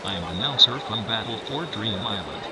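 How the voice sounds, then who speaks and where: Male actor as ominous robot-like game announcer, formal, flatly polite, slightly low pitch, heavy street noise in the background. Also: fairly quick, close to an everyday voice. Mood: politely threatening. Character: menacing game-show announcer, a talking speaker box. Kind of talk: scripted announcement. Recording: loud outdoor traffic-like noise.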